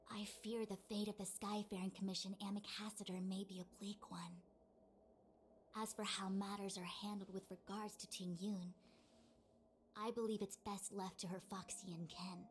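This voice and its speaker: A woman playing a composed, dutiful master diviner in a video game, speaking in a formal, measured and precise way. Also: medium pitch, slight background noise, natural voice. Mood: grave. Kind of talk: scripted video game dialogue.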